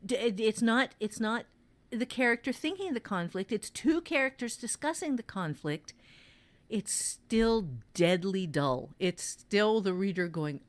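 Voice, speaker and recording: Woman writing teacher chatting on a podcast, really unhurried, mid pitch, deliberate and emphatic. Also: animated. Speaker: fiction writing teacher and author. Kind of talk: casual podcast teaching conversation.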